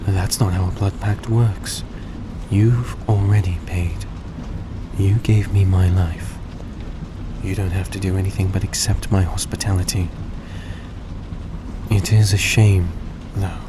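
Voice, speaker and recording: A man playing a courteous, gentle vampire in an intimate audio roleplay, speaking politely and a little hesitantly to the listener. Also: sits low, unhurried, pauses often. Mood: gentle, earnest. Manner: soft-spoken, polite, hesitant, formal phrasing. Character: courteous, gentle male vampire repaying a debt. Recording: steady background noise behind voice.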